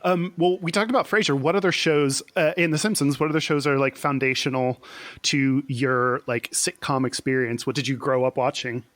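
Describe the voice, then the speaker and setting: A man from Houston co-hosting a podcast, chatting in a wry, animated way. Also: mid-range voice, moderate pace, no room sound. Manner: chatty, wry, animated when making a point. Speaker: podcast co-host. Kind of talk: casual chat.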